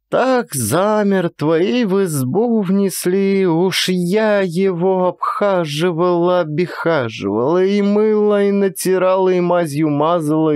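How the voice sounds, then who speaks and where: A man voicing a frail, gentle old Cossack mother who rambles in folksy rural speech in a dramatized audiobook. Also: high-pitched, no echo, studio quality, voice pushed up. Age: older.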